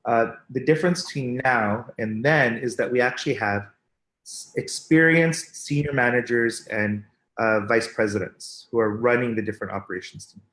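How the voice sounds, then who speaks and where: Mid-pitched man, executive panelist, halting with fillers, echoey room. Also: a bit bright. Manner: thoughtful, hesitant, with many filler pauses. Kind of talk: podcast panel talk. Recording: roomy echo.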